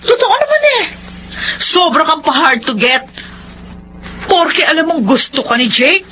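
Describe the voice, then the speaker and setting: Radio drama role: flirtatious, defiant Filipina wife, animated pitch, clearly noisy recording. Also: female voice, a little slow, full character voice. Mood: irritated, spiteful.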